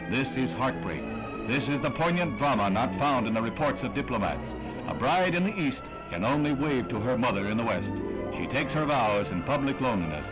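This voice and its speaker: A man's voice: mid-range voice, rough recording. An American man reading scripted 1960s newsreel narration in a dramatic, solemn, declamatory manner, on a vintage film soundtrack. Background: orchestral newsreel music.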